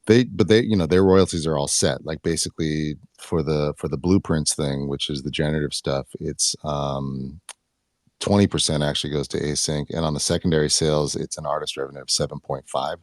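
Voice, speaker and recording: A man with an extremely low voice talks in a casual online panel. His manner is informal and slangy, a little self-effacing as he puts his points and questions. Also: steady tone, a little fast, dry recording, studio quality. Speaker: panel participant asking a question. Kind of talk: casual chat.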